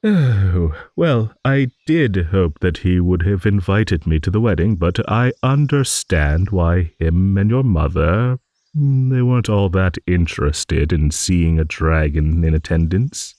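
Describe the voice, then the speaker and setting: Man voicing a slow, low old dragon. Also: lively intonation, no echo. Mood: playful storytelling. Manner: rambling, musing, with hums and soft chuckles. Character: rambling old dragon, genial, wistful and a little vain. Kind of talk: improvised fantasy audio monologue.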